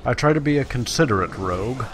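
A man's voice: mid-range voice, lively intonation, quick pace. A man giving casual gameplay commentary in a self-deprecating, wry manner. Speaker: let's play host. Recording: clearly noisy, game sounds behind voice. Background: video game battle sounds.

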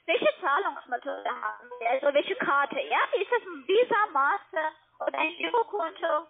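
Very high-pitched female phone agent. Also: lively intonation, normal speed, vocal fry, faint music behind. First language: not German. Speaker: call centre sales agent. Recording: tinny phone line.

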